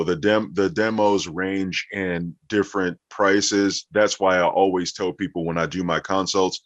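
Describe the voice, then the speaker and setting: A man answering questions conversationally as an interview guest, a voiceover actor and coach. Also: low-pitched. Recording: roomy echo, dull muffled sound.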